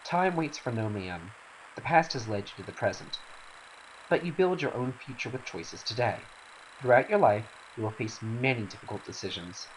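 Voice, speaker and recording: A man's voice: medium pitch, animated, normal speed, poor audio. A man reading scripted game dialogue in a dramatic style. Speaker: amateur voice actor reading game cutscenes. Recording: faint hiss.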